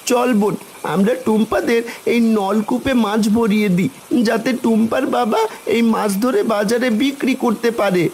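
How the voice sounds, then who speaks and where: A woman's voice: a little high, steady tone, moderate pace, hardly any pauses, a little mellow, a little hiss or hum, natural voice. A woman voicing a kindly, helpful magic fish, an older brother in a cartoon fairy tale, earnest and reassuring. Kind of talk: animated Bengali fairy-tale cartoon dialogue.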